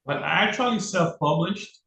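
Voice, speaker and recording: A man's voice: sits high, expressive, vocal fry, bright, forceful tone. Haitian-accented man, earnest author, echoey room. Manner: thoughtful, earnest, with frequent "uh" pauses. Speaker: author guest. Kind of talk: casual interview conversation. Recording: roomy echo, faint background noise.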